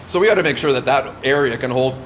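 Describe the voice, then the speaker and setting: A man's voice: medium pitch, fast, a bit bright, slight background noise. A man from Southern California whose first language is Lithuanian, answering interview questions about a scout camp in an eager, casual way. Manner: eager, with restarts and light laughs.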